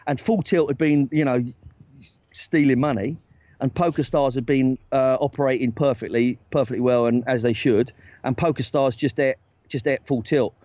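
A man, a talent agent and guest on a podcast, telling stories in a loose, animated way with lots of "right?" tag questions. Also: medium pitch, moderate pace, no echo. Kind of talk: casual storytelling chat. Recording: dry, dull lo-fi sound.

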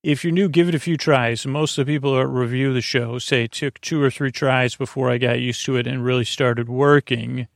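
A man hosting a bedtime podcast in a meandering, hesitant monologue that drifts off topic, with a gravelly, creaky voice. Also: mid-range voice, fairly even intonation, fairly quick, hardly any pauses, no echo, studio quality. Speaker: podcast host.

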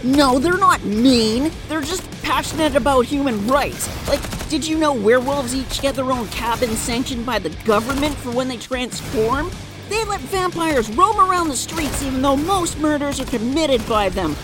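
A woman's voice: sits high, animated, moderate pace, over music. A woman playing a zealous, bigoted young man in an audio drama, insistent and earnest. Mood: urgent, zealous.

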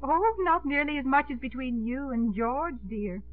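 A woman's voice: high voice, expressive, moderate pace, no room sound. A woman acting a poised but coy and easily flustered middle-aged lady in a 1950s radio romantic comedy. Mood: arch, breezy. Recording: narrow-band 1950s radio, music behind.

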